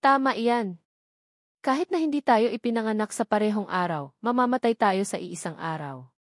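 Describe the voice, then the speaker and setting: A woman's voice: medium pitch, lively intonation, normal speed, no echo, clean studio sound, lightly acted. A woman voicing a resolute, battle-worn male martial sect leader in audiobook dialogue. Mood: loyal resolve.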